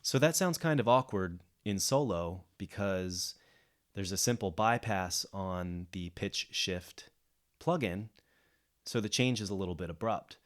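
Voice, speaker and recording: Low-voiced man explaining a mixing technique. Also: expressive, a little slow. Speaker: music producer giving a mixing tutorial. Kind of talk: explanatory software tutorial, unscripted. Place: indoors, voice-over of a screen recording.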